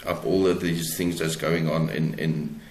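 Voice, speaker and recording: A South African man speaking as an interviewed author, explaining his work haltingly and searching for words. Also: rumbling low, level tone, darkish tone. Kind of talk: conversational interview, explaining own book. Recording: roomy, echoey sound.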